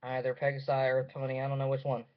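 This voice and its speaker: A young man giving casual, off-the-cuff commentary, halting and repeating himself as he thinks aloud. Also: medium pitch, monotone. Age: young adult. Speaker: reaction video host. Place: small dim room indoors. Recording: slightly dull webcam audio.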